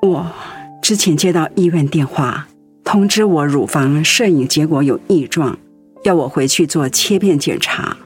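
An actress playing a devoted, anxious wife in a radio drama, speaking tenderly and earnestly with feeling. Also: female voice, low-pitched, unhurried, soft background music. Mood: afraid. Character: devoted, anxious wife caring for her sick husband.

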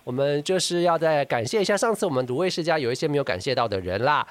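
Female podcast co-host, Taiwanese Mandarin accent, brisk and very animated, playful group banter. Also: low voice. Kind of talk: casual group chat.

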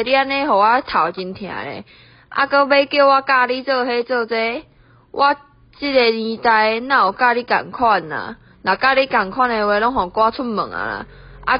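A young woman with a Taiwanese accent voicing a bossy, scolding middle-aged mother-in-law in an amateur radio play, nagging as she switches between Hokkien and Mandarin. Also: on the high side. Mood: indignant. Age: young adult. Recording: dull, lo-fi, roomy home recording.

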